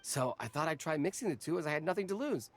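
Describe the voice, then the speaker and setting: A flustered young snack vendor. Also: male voice, on the high side, expressive, quick pace, soft background music. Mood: explaining. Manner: flustered, stammering, apologetic. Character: nervous young male snack vendor, eager. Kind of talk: game cutscene voice acting.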